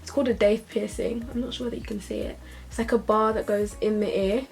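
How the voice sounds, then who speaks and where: A woman's voice: medium pitch, brisk, gravelly. A young woman, talking casually and upbeat to her camera in a vlog. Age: young adult. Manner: chatty, lively and upbeat. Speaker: vlogger.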